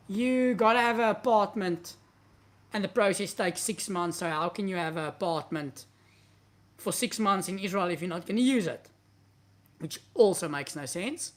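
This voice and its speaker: A man's voice: sits high, a bit bright. Afrikaans-accented man in his thirties who hosts a live Q&A from his home study, talking to a webcam; blunt and chatty as he reads and answers viewers' questions. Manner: candid, blunt and conversational, gestures while talking. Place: indoor home study with bookshelves. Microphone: webcam at a desk, seated.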